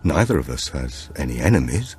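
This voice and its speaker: Narrator voicing a low, bitter widowed father. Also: big pitch swings, fairly quick, a little mellow, breathy edge, heavily acted. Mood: guarded. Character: grieving, bitter widowed father, curt with police.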